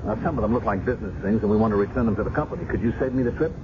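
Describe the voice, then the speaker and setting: An actor playing a dogged, businesslike police sergeant in a 1970s radio drama. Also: male voice, on the low side, racing, close to an everyday voice. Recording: muffled noisy 1970s radio recording.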